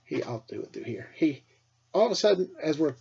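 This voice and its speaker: A middle-aged American man, a teacher with a husky voice, tells casual personal stories for children in an animated way, acting things out as he goes. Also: fairly high pitch, a little fast, dull audio. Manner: animated, acts out dialogue and sound effects. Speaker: school teacher telling stories to pupils.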